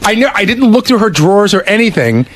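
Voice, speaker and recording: A man's voice: high voice, sing-song, fast talker, crowd noise. A man on a radio show's staff, arguing defensively and swearing in casual studio banter. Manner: defensive, profane. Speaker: radio show staff member.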